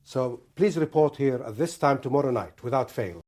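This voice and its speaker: An actor playing a Palestinian militant commander in a radio drama, deliberate, formal and imperious, with a menacing courtesy. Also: male voice, mid-range voice, lively intonation, a little mellow, natural voice. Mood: coolly authoritative.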